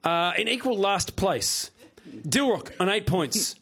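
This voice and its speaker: A man hosting a comedy panel quiz with joking, excitable, stammering banter. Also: sits high, slow pace, vocal fry, breathy edge. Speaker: quiz show host.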